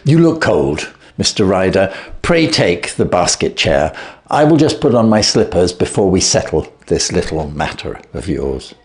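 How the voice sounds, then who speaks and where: A man voicing a shrewd, calm gentleman detective who speaks blandly and with sweet irony. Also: sits low, a little slow. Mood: cheery. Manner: bland, sweetly ironic, assured.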